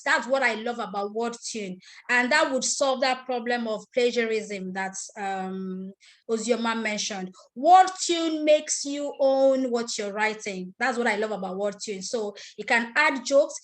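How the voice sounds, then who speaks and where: Woman, Nigerian accent, native Igbo speaker, fairly high voice, online class instructor, step-by-step explaining, video call mic. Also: fairly bright tone. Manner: step-by-step, repeats herself, asks attendees questions. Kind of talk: live screen-share tutorial.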